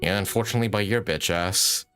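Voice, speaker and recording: A man's voice: low voice, quick pace. A man livestreaming a video game, giving casual, informal reaction commentary with plenty of swearing. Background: faint game audio music.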